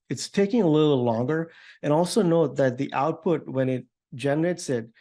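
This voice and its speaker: A man giving an informal, upbeat software demo walkthrough as a developer advocate. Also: fairly high pitch, vocal fry. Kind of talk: tech demo walkthrough, semi-scripted.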